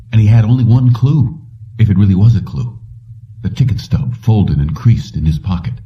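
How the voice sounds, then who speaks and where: Low-voiced man narrating, distant lo-fi recording. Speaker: audiobook narrator. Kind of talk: scripted narration of a short story. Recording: dull lo-fi, distant from mic.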